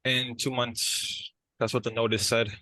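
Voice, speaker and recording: Hesitant, frustrated brother, bright voice, acted by a man. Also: medium pitch, flat delivery, dry recording, low-quality recording. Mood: uncertain, defensive. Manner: hesitant, halting. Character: frustrated, unsure brother struggling to keep a job. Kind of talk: dramatized therapy session, conversational.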